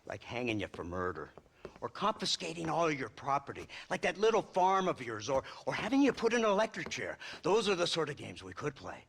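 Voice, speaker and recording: An actor playing a smug, menacing government lawman in a game, with an American accent, a husky voice and condescending mock politeness. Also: a little high, wildly swooping pitch, brisk, fairly bright tone, a little hiss or hum, heavily acted. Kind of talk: video game cutscene acting.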